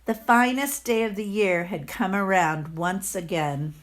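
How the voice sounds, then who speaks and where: A woman's voice: medium pitch, measured pace. A woman reading a storybook aloud to children, addressing her young listeners informally.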